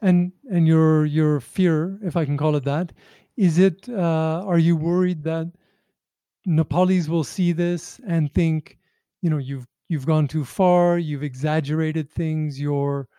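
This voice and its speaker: A man's voice: on the high side, steady tone, takes it slowly, dark tone, smooth, no echo. A man conducting a conversational interview, phrasing his questions carefully and tentatively.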